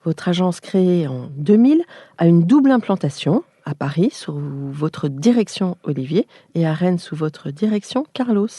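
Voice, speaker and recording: A woman hosting an architecture podcast, reading a formal introduction and asking questions in a measured way. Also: fairly low pitch, big pitch swings, mellow, slightly rough, dry recording, clean studio sound. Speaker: podcast host, interviewer. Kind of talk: scripted introduction and interview questions.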